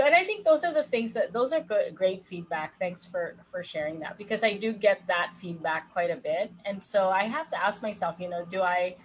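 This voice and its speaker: Woman coach, loud voice, mid pitch, laughs easily, casual talk. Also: low-quality recording. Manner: laughs often, speaks of holding space. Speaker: life coach. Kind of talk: casual interview chat.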